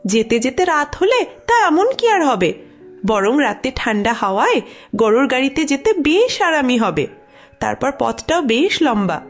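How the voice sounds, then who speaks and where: A woman playing a calm, brave young married daughter in a horror cartoon, reassuring and dutiful. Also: high voice. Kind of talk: dramatised horror cartoon dialogue.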